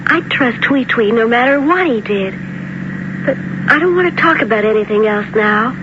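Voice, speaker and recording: A determined young woman who runs a circus played by an actress speaks in a high, earnest, decisive voice. The style is radio drama acting, with a dull sound and clear background noise. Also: animated, moderate pace, close to an everyday voice. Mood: resolute. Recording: muffled, noisy radio broadcast.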